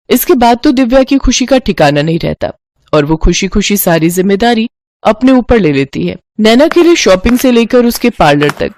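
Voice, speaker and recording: A woman works as a story narrator, reading a script expressively. Her voice is mid-pitched and the recording is dry, with no room on it. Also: a little mellow, no room sound.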